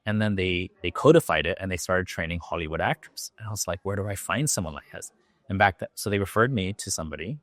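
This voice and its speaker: A man's voice: sits low, fairly bright tone, no room sound. A Japanese man speaking English with a learned American accent, a talkative podcast guest. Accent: American, learned from an acting coach. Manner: loose and talkative, fillers and restarts. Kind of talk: podcast interview.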